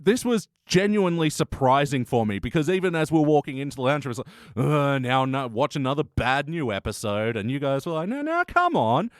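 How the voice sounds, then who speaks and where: A man's voice: medium pitch, big pitch swings, brisk, few pauses, no echo. An Australian man hosts a loose, joking review chat in an ordinary mid-range voice. He speaks informally and swears freely. The sound is dry, with very little room on it. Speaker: podcast host. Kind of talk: casual comedic review chat.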